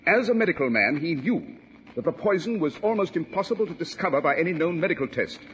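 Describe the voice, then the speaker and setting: Guy acting a cocky brisk detective in 1940s radio play, highish animated voice, bit of old hiss. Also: natural voice. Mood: self-assured explaining. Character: brisk, self-assured male English detective, coolly unsentimental. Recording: noisy vintage 1940s radio recording.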